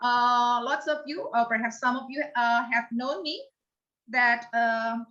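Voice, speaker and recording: A woman's voice: a little high, measured pace, fairly bright tone. A woman with an Indonesian accent hosting an online English club on a video call, speaking hesitantly with many fillers and restarts. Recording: dull lo-fi video call audio.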